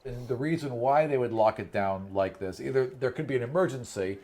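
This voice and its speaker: Man running a tabletop game, conversational and halting. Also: mid-range voice, expressive, darkish tone. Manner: conversational, halting and rephrasing as he describes scenes. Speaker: game master of a tabletop fantasy game. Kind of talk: improvised game narration mixed with casual table chat.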